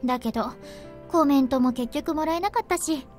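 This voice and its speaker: An actress playing a playful, mischievous young woman in a Japanese visual novel, with a teasing, animated manner. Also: high voice, very fast, faint music behind, close to an everyday voice.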